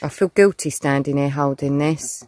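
A woman with a loud, shouty voice chatting casually to a handheld camera outdoors on rural land. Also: sits low, normal speed, a little hiss or hum. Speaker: vlogger.

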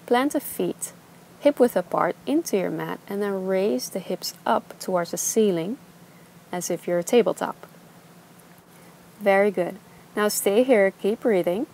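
Young woman, yoga instructor, calm soothing cues, mid pitch, faint background hiss. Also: a little slow, creaky, rough recording. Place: outdoors on a beach.

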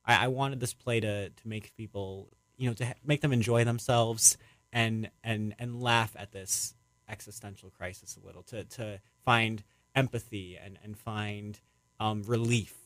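Young man, lower pitch, dry close recording, halting reflective interview answers. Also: fairly even intonation, a bit bright, dry recording. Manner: hesitant, rambling, reflective. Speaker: playwright being interviewed.

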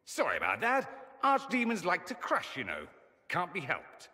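A man's voice: high-pitched, wildly swooping pitch, normal speed, sharp tone, in a large hall, full character voice. An actor playing a witty, vain and charming young mage nobleman in video game dialogue, teasing and theatrical in manner. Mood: playful. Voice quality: arch, ironic wit. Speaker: mage companion.

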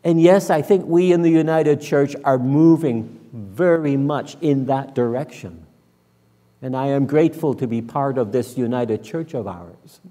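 A man in his sixties, a minister preaching and praying in a measured, solemn, deliberate manner. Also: mid-range voice, mellow. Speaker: minister leading worship. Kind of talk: sermon and public prayer. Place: church sanctuary, at the front and pulpit. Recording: reverberant church hall.

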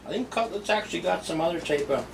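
A man's voice: high-pitched, expressive, fast, gravelly. Middle-aged male food vlogger, offhand and chatty, heard from across a noisy kitchen on a camera mic. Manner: chatty, offhand, rambling with restarts. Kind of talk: casual cooking and tasting vlog. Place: home kitchen, indoors. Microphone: camera mic set back in the room. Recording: distant camera mic with background noise.

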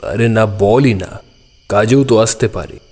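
An actor playing an astute, self-assured schoolteacher detective in an audio drama, brisk and authoritative. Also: male voice, on the low side, a little mellow. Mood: pondering. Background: music.

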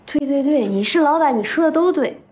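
Young woman, fairly high voice, spirited stubborn office assistant, TV romance drama, music underneath. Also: expressive, brisk, dull audio. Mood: defiant. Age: 20s. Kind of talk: Mandarin TV romantic comedy drama acting. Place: office interior, TV drama production.

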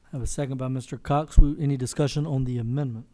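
A man chairing a public council meeting, his voice sharp and tense with a steady middle pitch. He questions staff deliberately, line by line. He speaks into a desk microphone in a large chamber.

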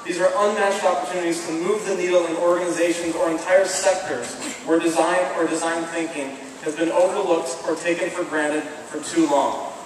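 A man giving a formal commencement address from a podium, speaking in a measured, earnest, deliberate way. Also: a little high, fairly even intonation, a crowd in the background. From American Midwest. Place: large stone hall with flags, indoors. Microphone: podium microphone, filmed from far off. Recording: echoey hall from far off, audible background noise.

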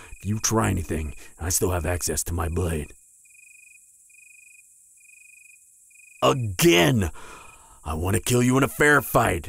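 A man's voice: on the low side, fairly bright tone, exaggerated voice. A man playing a proud, wounded vampire hunter in an audio roleplay, stammering and strained with pain. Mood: pained, reluctant. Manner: stammering, halting, breathy sighs and groans. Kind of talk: intimate audio roleplay acting.

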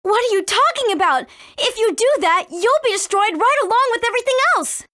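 A spirited young heroine from a video game, with a high, forthright voice. She is earnest and quick to show indignation, recorded dry and close. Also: animated, bright, forceful tone, dry recording, full character voice. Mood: alarmed.

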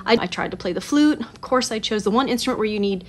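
A woman's voice: on the high side, expressive, fast talker, a little hiss or hum. Chatty woman in her forties, self-mocking. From Southern California. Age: 40s. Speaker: songwriter vlogger. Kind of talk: casual talk to camera. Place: small colorful home studio room. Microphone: phone camera at desk distance.

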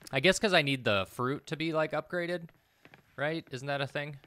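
A man's voice: fairly high pitch, normal speed, pushed and bright, clear, resonant tone. A man in his thirties doing casual gameplay commentary, hesitantly thinking aloud and repeating himself as he mutters. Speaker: game streamer. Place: indoor room, streaming at a computer.

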